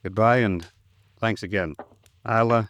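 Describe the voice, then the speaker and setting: A hesitant, lowish-voiced company man. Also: fairly bright tone, no room sound. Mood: awkwardly grateful. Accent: American. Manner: hesitant, halting, courteous. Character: polite, uneasy male company man hiding guilt. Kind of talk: 1950s radio drama acting.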